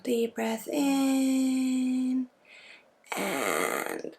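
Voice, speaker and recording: A woman leading an ASMR energy healing session, soft-spoken and often slipping into a breathy whisper. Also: sits high, huge pitch swings, extremely slow, slight background noise. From Sweden. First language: Swedish. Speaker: energy healer and spiritual guide.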